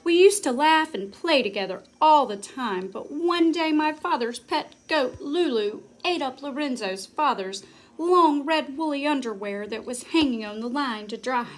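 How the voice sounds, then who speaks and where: Whiny, theatrical young princess, as a woman reader puts her on, pitched high. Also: animated, measured pace. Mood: complaining. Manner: wailing, melodramatic.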